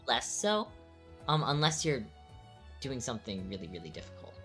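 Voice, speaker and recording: Very low-voiced laid-back woman streamer. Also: sing-song, normal speed, fairly bright tone, soft background music. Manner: laid-back, chatty, lots of "like" fillers. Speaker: streamer co-host. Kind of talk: casual chat while animating on stream.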